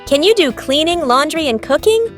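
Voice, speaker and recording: A woman playing a helpful, playful young care worker in visual novel dialogue, with an animated, teasing manner. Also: high-pitched, moderate pace. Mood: playful, inviting. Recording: music behind the voice.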